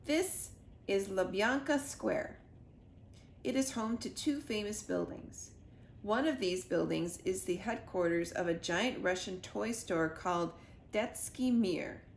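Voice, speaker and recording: A woman narrating a children's storybook in an expressive read-aloud style. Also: mid-range voice, vocal fry. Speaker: storybook reader. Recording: echoey room with faint noise.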